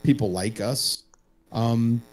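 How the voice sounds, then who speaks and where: A man's voice: mid-range voice, takes it slowly, a little mellow. A man hosting an online show with casual, joking, rambling, self-deprecating chat. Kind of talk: casual chat between broadcast segments.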